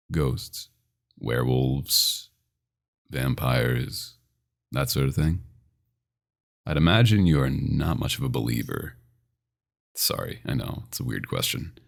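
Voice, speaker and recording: A man playing a dominant, possessive vampire doctor in an ASMR roleplay, intimate and teasing with a smooth menace. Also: heavily acted. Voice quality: very deep, gravelly.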